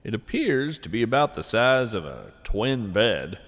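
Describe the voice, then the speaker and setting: A man with a lowish voice narrates whimsical nature fiction and poetry. His delivery is very slow and deliberate, with expressive, lively rises and falls. He sounds earnest and conversational, like a host speaking to listeners. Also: big-room sound.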